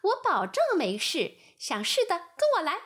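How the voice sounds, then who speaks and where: A woman's voice: very high voice, huge pitch swings, moderate pace, fairly bright tone, pitched above the performer's own voice, exaggerated voice. A woman voicing a boastful, greedy little fly. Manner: boastful, exaggerated.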